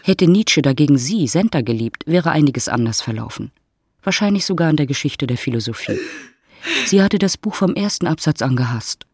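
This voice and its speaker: A man narrating a literary audiobook in a measured, dramatic storytelling manner. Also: on the high side, expressive, breathy edge, dry recording, clean studio sound.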